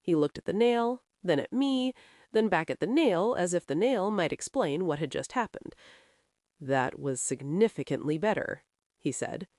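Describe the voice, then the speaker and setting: A woman narrating a first-person western audio drama as a proud, capable frontier ranch woman, with a dry, wry, measured delivery. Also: on the low side, dry recording.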